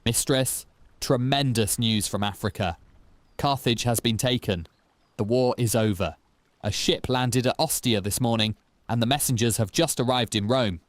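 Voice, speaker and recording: An eager young slave in a historical audio drama, voiced by a man. His pitch is raised well up, and he sounds excited and deferential, closely recorded. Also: normal speed, no echo, natural voice. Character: eager young male slave bringing news.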